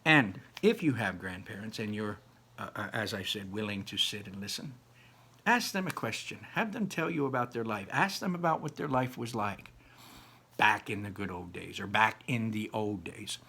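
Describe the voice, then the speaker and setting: A man's voice: fairly low pitch, lively intonation, moderate pace, vocal fry, sharp tone, slightly rough. A man reading a children's story aloud and commenting informally, in an earnest, encouraging way.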